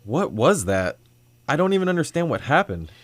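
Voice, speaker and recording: A man's voice: on the high side, very animated, normal speed, no echo. A man playing an earnest, uncertain reluctant hero in a superhero radio drama, sincere and dramatic. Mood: bewildered. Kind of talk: old-time radio superhero drama acting.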